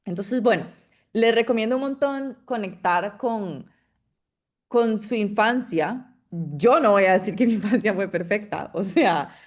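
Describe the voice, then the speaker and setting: A woman's voice: medium pitch, unhurried. A young woman from Costa Rica with a Costa Rican accent, giving an informal, chatty talk to an audience, with frequent fillers and restarts. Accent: Costa Rican Spanish. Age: young adult. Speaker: guest speaker, PhD student.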